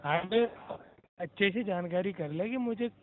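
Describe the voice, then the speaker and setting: A man speaks as a helpline operator in a fairly high voice. He uses formal, polite address but keeps to procedure with some curtness. It all comes through a narrow phone line. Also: unhurried. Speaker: government helpline call agent. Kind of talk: formal customer service phone talk. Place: telephone call. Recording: narrow-band phone call recording.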